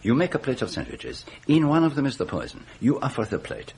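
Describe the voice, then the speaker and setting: An actor playing a shrewd, courteous foreign detective in a radio drama, speaking in a measured, formal, questioning way with musing hums. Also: male voice, mid-range voice, huge pitch swings, creaky, darkish tone, a little hiss or hum.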